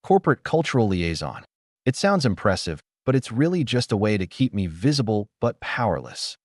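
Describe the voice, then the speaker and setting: A man's voice: fairly low pitch, big pitch swings, normal speed, a little mellow, no echo, clean studio sound. A man reading a guarded young woman. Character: reserved young woman, former janitor.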